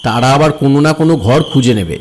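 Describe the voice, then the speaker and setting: Man playing a greedy, excitable cartoon official. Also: mid-range voice, racing, a little hiss or hum, close to an everyday voice. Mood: smug. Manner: expressive, exclaiming, talks to himself. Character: greedy, self-serving male government officer, easily flustered. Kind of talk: animated cartoon voice acting. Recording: music bed behind the voice.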